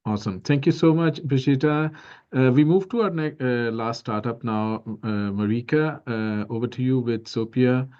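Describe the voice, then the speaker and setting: A man moderating an online pitch session, upbeat and reassuring, in short friendly turns. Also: moderate pace, darkish tone, clear, resonant tone. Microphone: video call. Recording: dull lo-fi video call audio.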